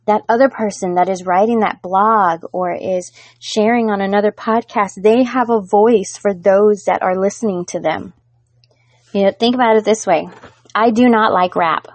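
A middle-aged woman hosts her own podcast, talking straight to her listeners in an earnest, encouraging way. Her voice sits at a middle pitch. The sound is close and dry, with no room around it. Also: darkish tone, dry recording. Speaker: podcast host. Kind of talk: solo motivational podcast talk.

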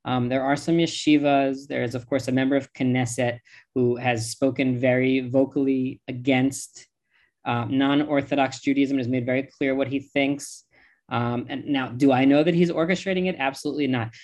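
A man's voice: mid-range voice, fairly even intonation, moderate pace, darkish tone. A man, a rabbi with an American accent, speaking as an interview guest in an impassioned, emphatic way. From United States.

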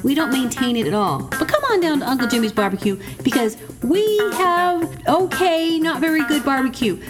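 A woman putting on a cheerful pitchman voice for a fake ad about a bad barbecue joint, selling it bluntly. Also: lively intonation, normal speed. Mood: chipper. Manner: upbeat sales pitch, bluntly self-deprecating. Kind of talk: fake radio ad. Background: music.

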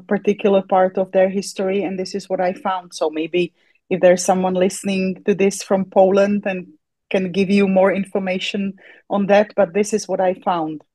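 A woman whose first language is Czech, talking as a guest on a podcast. She pauses often and fills the gaps with filler words as she explains, and her voice is mellow. The sound is dry and close to the mic. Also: medium pitch, flat delivery, no echo. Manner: hesitant, many fillers. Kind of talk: casual interview chat.

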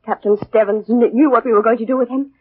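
An actress playing an anxious wife in a 1940s radio thriller. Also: female voice, fairly high pitch, steady tone, quick pace, husky. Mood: anxious, alarmed. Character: anxious wife of a scheming husband. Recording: muffled, narrowband 1940s radio recording.

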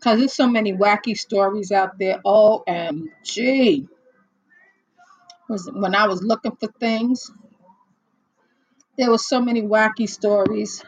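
A middle-aged woman hosting a casual livestream chat, rambling and chatty with lots of fillers and laughs, a little hoarse as she loses her voice. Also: mid-range voice, takes it slowly, pauses often, dry recording, low-quality recording. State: losing her voice, slightly hoarse. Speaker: livestream host. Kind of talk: casual chat with live viewers.

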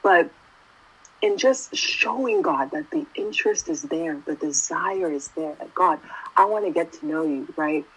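Husky young woman preaching casually. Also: sits low, expressive, measured pace, low-quality recording. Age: 20s. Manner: conversational, keeps asking "right?". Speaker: youth preacher. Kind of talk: casual sermon.

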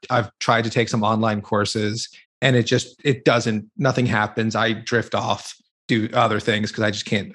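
A man's voice: mid-range voice, a bit bright. A man co-hosting a podcast, asking questions in a casual, conversational way. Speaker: podcast co-host.

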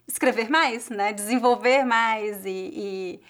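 A woman's voice: a little high, takes it slowly, a bit bright. A woman language teacher hosting her channel, interviewing a guest and then closing the video in a friendly, encouraging way. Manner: friendly and encouraging, with frequent "né?" tags.

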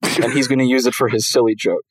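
A young man riffing on a comedy podcast with ironic, mock-earnest delivery and casual swearing. His voice sits at an ordinary pitch, recorded dry. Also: fairly even intonation, fast, dry recording, rough recording. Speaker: podcast co-host.

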